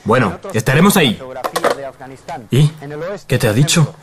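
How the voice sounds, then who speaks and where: A man's voice: medium pitch, lively intonation, moderate pace, crowd noise, close to an everyday voice. A man acting a suspicious conspirator in a crime drama, curt and questioning. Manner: curt, questioning, switches between Spanish and Dutch. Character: suspicious Spanish-speaking male conspirator, curt and commanding.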